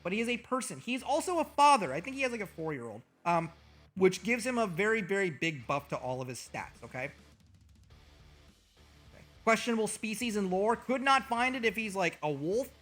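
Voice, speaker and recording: A man streaming as a VTuber, joking and trash-talking with over-the-top, profane bragging, his voice a little hoarse. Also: high-pitched, very animated, a little fast, fairly bright tone, soft background music. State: slightly hoarse. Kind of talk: comedic livestream banter.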